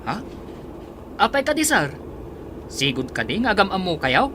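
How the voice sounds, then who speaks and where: An actor playing an excitable, anxious driver who serves his boss in a radio drama. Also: high-pitched, normal speed, background noise. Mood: startled.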